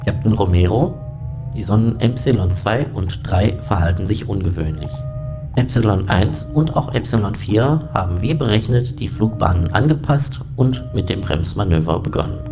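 Man voicing a low, unemotional space station computer. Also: expressive, gravelly. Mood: calm, matter-of-fact. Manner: precise, measured, unemotional reporting. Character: calm, formal shipboard computer of a space station. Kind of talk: science fiction audio drama acting. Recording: loud music bed behind voice.

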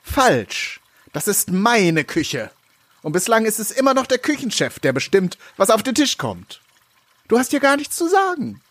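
An actor playing a domineering, sneering head chef in an audio drama, scornful and commanding. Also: male voice, high-pitched, wildly swooping pitch, unhurried, a bit bright, no echo, heavily acted. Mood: angry, commanding. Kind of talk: German audio drama acting.

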